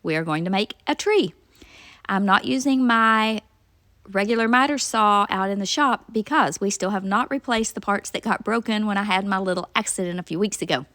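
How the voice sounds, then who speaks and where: A woman's voice: mid-range voice, moderate pace, pushed and bright, no echo. A woman hosting a rustic craft tutorial, talking through each step in a chatty, homey voice-over. She sounds a bit nasal from a cold. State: nasal from a cold, sore throat. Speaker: craft channel host.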